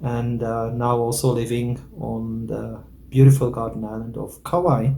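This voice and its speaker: A man from Switzerland introducing himself and his work as a spiritual teacher, pausing often with "uh". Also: medium pitch, little pitch movement, gravelly, mellow, slight background noise. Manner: slow, many hesitant "uh" fillers. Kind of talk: calm introduction.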